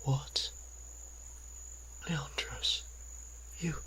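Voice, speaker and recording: A man playing an anguished, devout, centuries-old vampire priest in an audio drama, speaking in a breathy, halting, pleading way. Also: bright, forceful tone. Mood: shocked, pleading. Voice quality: breathy, husky, often near whisper. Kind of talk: dramatic audio roleplay acting.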